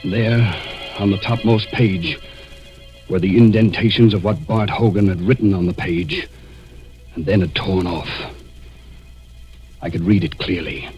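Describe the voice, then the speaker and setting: A man's voice: sits low, measured pace, pauses often. An actor playing a desperate, cornered murderer narrating his confession in a tense, dramatic 1940s radio thriller style. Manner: tense, dramatic first-person storytelling. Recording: dull 1940s radio recording, music behind.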